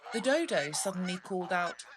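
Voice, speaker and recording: A woman reading a classic children's story aloud in an expressive, scripted narration style. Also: lowish voice, brisk, noisy background. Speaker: storybook reader.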